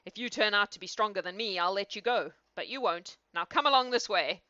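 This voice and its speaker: A woman's voice: mid-range voice, brisk, sharp tone, no echo, highs cut off, lightly acted. A woman voicing a huge, evil old man in a storybook, with a threatening, domineering manner. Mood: menacing.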